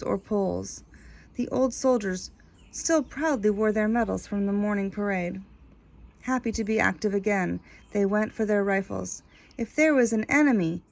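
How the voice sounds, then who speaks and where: A woman's voice: mid-range voice, creaky, a little mellow. A woman reading a children's story aloud expressively, stretching key words for emphasis. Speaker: storybook reader. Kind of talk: expressive read-aloud narration of a children's book, with casual asides.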